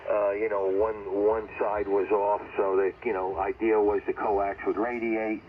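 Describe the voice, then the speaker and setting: A man's voice: on the low side, level tone, unhurried. A man chatting casually as an amateur radio operator over shortwave, rambling a little and circling back to repeat his points. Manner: rambling, conversational, repeats himself. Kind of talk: casual ham radio chat. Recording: muffled, noisy ham radio transmission.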